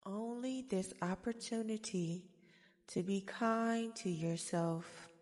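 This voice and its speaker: A woman guiding a meditation in a soft-spoken ASMR style, with soothing, gentle, deliberate phrasing. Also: medium pitch. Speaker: guided meditation host. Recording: long reverberant echo.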